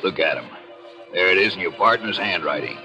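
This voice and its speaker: An actor playing a big, tough, businesslike contractor with a blunt, insistent manner in a 1940s radio drama. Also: male voice, sits low, sing-song, a little fast, music in the background. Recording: vintage radio broadcast.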